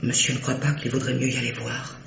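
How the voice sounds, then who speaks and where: Earnest servant in echoey room. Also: male voice, mid-range voice, completely flat delivery, fast, gravelly, a bit bright. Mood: worried, insistent. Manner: respectful, earnest, insistent. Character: deferential, uneasy château servant. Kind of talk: French radio play acting. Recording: muffled, echoey, noisy old radio recording.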